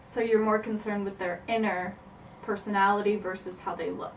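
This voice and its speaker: A woman's voice: mid-range voice, steady tone. A young woman, a student, narrating and casually interviewing her family at home, chatty and teasing. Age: young adult. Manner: chatty, teasing, quick to laugh. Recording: roomy, distant camera mic, faint hiss.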